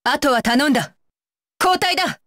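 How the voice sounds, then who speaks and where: A woman's voice: high-pitched, animated, normal speed, fairly bright tone, no room sound, studio quality. A woman voicing a stern, dutiful young female ninja bodyguard for an anime game, with clipped, commanding and blunt phrasing. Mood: announcing, raised. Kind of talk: Japanese anime game voice lines.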